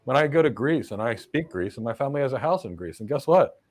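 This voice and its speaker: A man's voice: mid-range voice, expressive, fast. An American man hosting a live career Q&A as a cloud career coach, answering viewer questions emphatically with blunt one-word verdicts. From United States.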